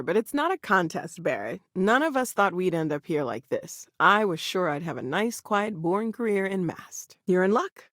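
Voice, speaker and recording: A woman's voice: fairly low pitch, dry recording, clean studio sound. A woman playing an earnest, brainy scientist in a video game, thoughtful and slightly hesitant, eager but anxious. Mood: friendly, earnest.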